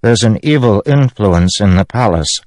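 A man's voice: lowish voice, expressive, moderate pace, a little mellow, no echo, pitched above the performer's own voice, natural voice. A man voicing a troubled young king who speaks in a strained, pleading way. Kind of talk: audiobook character voice.

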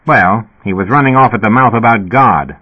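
A man's voice: lowish voice, normal speed, breathy edge. A man voicing a blunt, crude, skeptical male police officer. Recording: tinny phone-like sound.

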